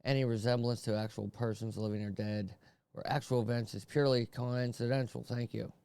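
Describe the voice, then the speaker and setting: A man's voice: mid-range voice, level tone, a little fast, vocal fry, darkish tone, smooth, no echo. A man doing casual let's-play commentary, reading screen text aloud between informal, sweary asides. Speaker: host playing through a visual novel.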